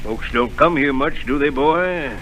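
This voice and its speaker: An actor playing a gruff, secretive old sea captain in a 1930s radio drama, barking orders and dropping to a menacing mutter. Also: male voice, unhurried, a bit bright, soft background music. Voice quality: rough, barking seaman's growl. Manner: bellows orders, drops to a low menacing mutter. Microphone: radio studio microphone.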